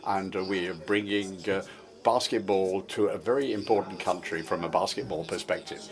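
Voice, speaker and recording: A man with a low voice renders a formal report in a measured, steady way. He often pauses on fillers as he keeps pace with the speaker. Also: faint music behind. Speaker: interpreter. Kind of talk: simultaneous interpreting of a report.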